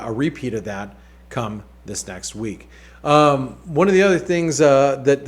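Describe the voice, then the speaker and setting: A man hosting a weekly market outlook, talking casually over charts with many fillers, restarts and wry asides. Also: medium pitch. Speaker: market analyst host.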